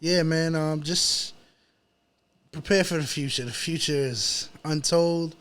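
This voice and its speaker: A man's voice: fairly high pitch, slow pace, a bit bright. A man with a New York accent chatting casually as an interview guest, telling stories in slangy, repetitive phrasing. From New York City, United States. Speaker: guest, rapper. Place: apartment.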